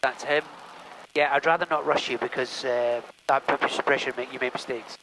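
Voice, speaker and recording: A man's voice: mid-range voice, steady tone, normal speed. A man, a helicopter instructor giving encouraging, repeated short instructions over a headset during a flight. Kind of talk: coaching during a flight lesson. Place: inside a small helicopter in flight. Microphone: aviation headset. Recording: noisy helicopter cockpit. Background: engine and vehicle noise.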